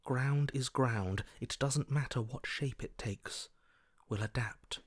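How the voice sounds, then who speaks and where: An actor playing a wary, primal survivor's inner voice in a video game, speaking in terse, watchful phrases. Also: male voice, medium pitch, takes it slowly, husky, dry recording, clean studio sound. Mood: wary, focused. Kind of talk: video game character voice acting.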